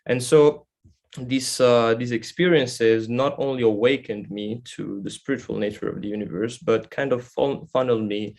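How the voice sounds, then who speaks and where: An Italian man speaking English with an Italian accent as a guest speaker, giving an informal talk in a halting, reflective, explanatory way. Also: mid-range voice, steady tone, creaky, darkish tone. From Italy. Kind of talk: informal spoken presentation.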